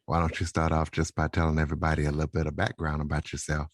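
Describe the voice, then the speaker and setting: A man's voice: rumbling low, completely flat delivery, fast, dry recording, clean studio sound. A man hosting an interview podcast, introducing guests and putting questions to them. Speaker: podcast host.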